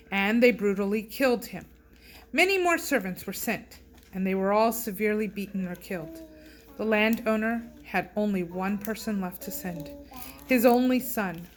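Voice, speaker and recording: A woman reading scripture aloud with measured, deliberate phrasing. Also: medium pitch, a bit bright, slight background noise. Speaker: scripture reader and host.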